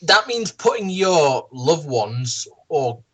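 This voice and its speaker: A speaker of medium pitch giving halting, casual commentary among friends and often repeating phrases. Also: very animated, a bit bright, husky. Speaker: commentator in a group reading.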